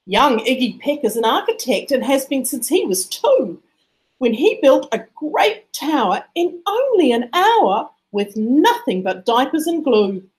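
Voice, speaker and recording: A woman with a high voice narrates a rhyming picture book for children. Her delivery is expressive and rhythmic, rising and falling in a lively sing-song. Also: unhurried, poor audio. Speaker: storybook reader.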